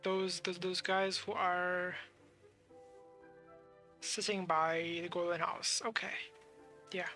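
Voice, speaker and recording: Girl streamer w lots of vocal fry, bright voice, casual game commentary, faint music. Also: lowish voice, flat delivery, slow, pauses often.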